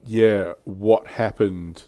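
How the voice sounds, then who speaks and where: A man's voice: fairly low pitch, fairly even intonation, extremely slow, warm tone. A man hosting a casual hobby livestream, chatting in an easy conversational way. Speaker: livestream host.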